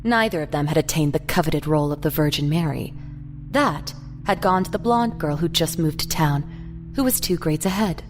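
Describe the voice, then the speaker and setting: Lower-pitched woman narrating over music. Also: normal speed. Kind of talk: scripted audio drama narration.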